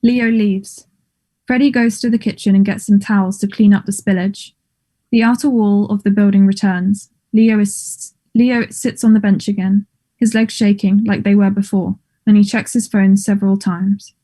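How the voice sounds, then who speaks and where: A woman narrates stage directions from a script. Her voice is very mellow and sits at an average pitch. The reading is plain and neutral, in short statements. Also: steady tone, moderate pace.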